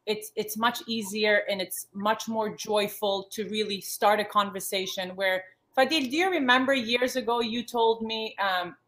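A woman's voice: medium pitch, moderate pace, hardly any pauses, fairly bright tone. Woman in her thirties, earnest, lots of restarts, video call from home. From born in the States, raised in Yugoslavia. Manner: earnest, many restarts and fillers. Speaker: panel guest, former model. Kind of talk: panel discussion on a video call. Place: home living room. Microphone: laptop webcam on a video call.

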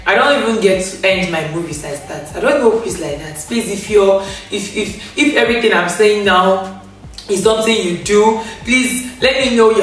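Chatty young woman vlogging in an echoey room. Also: mid-range voice. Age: young adult. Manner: chatty, expressive, laughs often, with hand gestures. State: recovering from losing her voice. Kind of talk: casual storytime rant to camera. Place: indoor living room, on a grey sofa. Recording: echoey room, a bit far from the mic, faint music.

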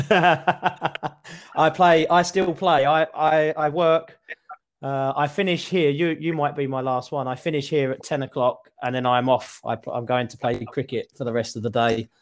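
A middle-aged British man tutors English over a headset on a video call. He talks in a relaxed, chatty way from a small room, often restarting his sentences with a repeated word. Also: on the high side, a little slow. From United Kingdom. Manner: chatty, repeats words when starting a thought. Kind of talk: casual conversation lesson.

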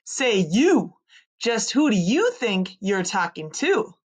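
A woman voicing a mean, bossy playground bully girl in a children's storybook, growling and snarling her loud demands. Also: medium pitch, big pitch swings, slow pace, breathy edge, exaggerated voice. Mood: angry, demanding.

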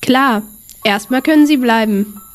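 Woman as a high-voiced boastful young survivor. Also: a little slow, lightly acted. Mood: casual. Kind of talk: amateur audio drama acting.

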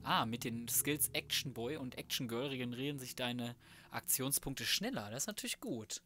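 A man's voice: medium pitch, animated, moderate pace, pushed and bright, soft background music. A young man giving casual, slangy, flippant let's play commentary. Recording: faint noise, game sounds behind. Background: video game ambience.

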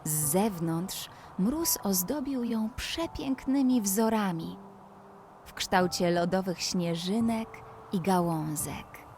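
Very slow-paced woman telling a bedtime story. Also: mid-range voice, animated, a little hiss or hum. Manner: soothing, gentle, addressing a child listener. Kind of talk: slow scripted bedtime story with guided relaxation. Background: background music.

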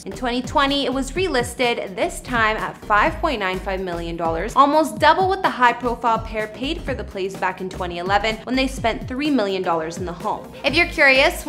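A young woman with a slightly high voice hosts a celebrity house tour in a bright, chatty presenter manner. She reads a polished script in a studio. Soft music plays faintly underneath her. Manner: upbeat, chatty presenter delivery. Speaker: host. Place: studio against a plain backdrop. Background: faint background music.

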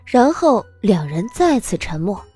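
A woman narrating a scripted audio drama in an expressive storytelling style. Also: mid-range voice, unhurried, over music.

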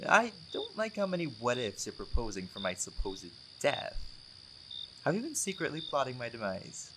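Man acting as laid-back male vampire, playful, charming, teasing, animated intonation, casual audio roleplay, distinct cricket noise in background. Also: medium pitch, normal speed, lightly acted. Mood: relaxed, amused, then teasing, cocky. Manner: joking, teasing, often chuckling. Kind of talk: audio roleplay, casual one-sided chat to a friend.

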